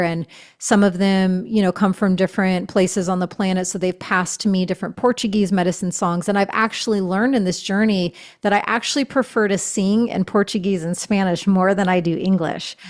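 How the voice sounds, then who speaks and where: A middle-aged woman talks as a podcast guest, speaking as a shaman and author. Her speech is gushing and spiritual, studded with "you know" and soft fillers. She keeps an ordinary, mid-height pitch. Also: steady tone, normal speed. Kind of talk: casual interview chat.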